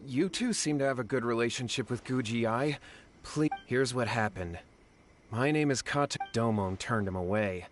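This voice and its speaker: A man's voice: medium pitch, normal speed, a little hiss or hum, close to an everyday voice. Man playing an anxious, pleading young swordsman. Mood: earnest, worried. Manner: pleading, deferential. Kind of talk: video game voice acting.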